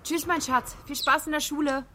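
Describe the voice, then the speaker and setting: A woman's voice: high-pitched, steady tone, normal speed, sharp tone, slight background noise. A woman playing a harried, swearing but affectionate mother in a colloquial, naturalistic radio play style.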